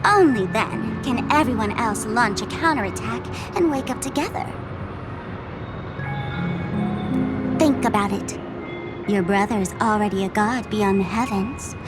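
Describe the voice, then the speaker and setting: A woman's voice: mid-range voice, moderate pace, lightly acted. An actress playing a teasing, gleeful female trickster in a video game, theatrical and mocking, with playful menace. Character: gleeful, teasing female trickster fool threatening to blow up a planet. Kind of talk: video game character acting. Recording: music behind the voice.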